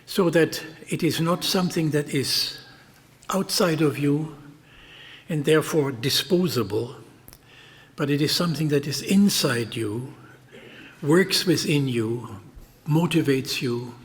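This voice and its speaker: A man whose first language is German, giving a slow, deliberate and reflective speech on a theatre stage. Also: on the high side, long reverb tail. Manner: slow, deliberate, explaining words. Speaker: speaker.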